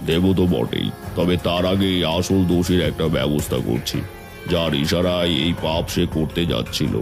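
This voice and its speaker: A man's voice: low-pitched, normal speed. A man voicing a stern, punishing holy man with magical powers in a cartoon fairy tale. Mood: stern, judging. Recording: music bed under the voice.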